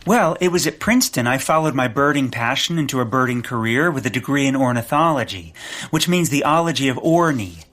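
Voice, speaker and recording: A man's voice: mid-range voice, lively intonation, brisk, a bit bright, natural voice. A man playing a naive, earnest, rambling rich birdwatcher in a comic audio drama. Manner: earnest, obliviously literal, rambling.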